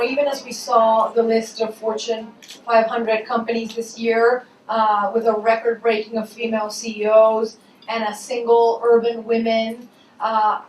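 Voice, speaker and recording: A woman's voice: fairly high pitch, little pitch movement, a little slow. A young woman hosting a panel discussion, chatty and laughing easily, with frequent fillers. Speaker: panel moderator, morning show host. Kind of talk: moderating a live panel. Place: event hall. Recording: echoey hall, far from mic, faint noise.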